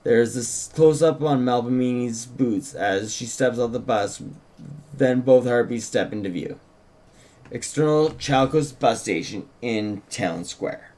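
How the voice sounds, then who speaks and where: A man's voice: medium pitch, a little slow, a little mellow, rough recording. A man co-hosting an informal table read, narrating stage directions from a script. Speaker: writer and co-host reading stage directions.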